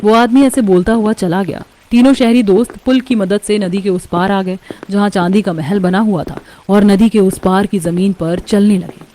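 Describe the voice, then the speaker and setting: A woman's voice: mid-range voice, fairly even intonation, normal speed, few pauses, mellow, rough recording. A woman reading scripted narration for a horror story.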